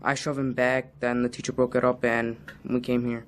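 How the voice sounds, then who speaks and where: A young man playing a sullen, reluctant high school student in an amateur dramatization, halting and offhand. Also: completely flat delivery, a little hiss or hum, lightly acted. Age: teenager.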